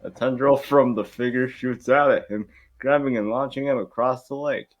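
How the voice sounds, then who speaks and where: A man's voice: medium pitch, steady tone, normal speed, highs cut off. A man hosting and narrating an amateur table read, informal and sometimes stumbling over the script. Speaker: host and narrator.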